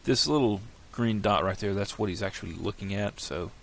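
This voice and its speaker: Deep gravelly man with a cold. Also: a little fast, a little hiss or hum. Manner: relaxed, rambling, thinks aloud with restarts. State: has a cold, slightly nasal. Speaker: tutorial creator. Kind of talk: casual software tutorial.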